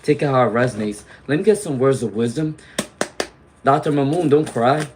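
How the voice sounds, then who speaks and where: A man doing a live tarot reading, chatty and reassuring, clearing his throat often as he loses his voice to laryngitis. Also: mid-range voice, animated, normal speed, a little mellow, a little hiss or hum. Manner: chatty, reassuring, frequent "okay?" and "all right?" tags. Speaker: tarot reader; teacher.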